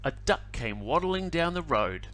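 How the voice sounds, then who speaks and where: A male teacher reading a picture book aloud to children, animated and adding little asides. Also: fairly high pitch. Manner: animated, slow storytelling with asides.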